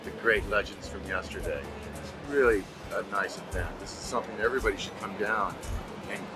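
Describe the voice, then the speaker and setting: A man's voice: lowish voice, a little fast, over loud music. A man speaking enthusiastically and plainly about an event. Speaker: festival-goer. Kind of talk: casual praise.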